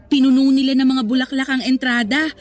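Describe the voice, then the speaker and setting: An actress playing a cheerful, respectful female store employee with a Filipino accent, polite and expressive in a radio drama. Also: high voice, fairly quick, soft background music, natural voice. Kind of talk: Tagalog radio drama acting, conversational.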